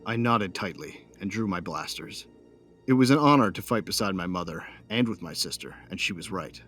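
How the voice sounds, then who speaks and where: A man's voice: sits low, lively intonation, normal speed, a bit bright. An actor playing an angry young fighter, a betrayed son, narrating his own story intensely in an audio drama. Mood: grim resolve. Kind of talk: dramatic first-person narration and acting. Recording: music bed behind voice.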